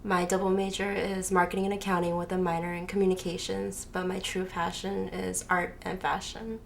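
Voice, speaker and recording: A woman's voice: lowish voice, little pitch movement, brisk. A young adult woman from Taiwan answering questions as an interview guest, explaining her work. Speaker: interview guest, student fashion designer. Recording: noisy room, clear background noise.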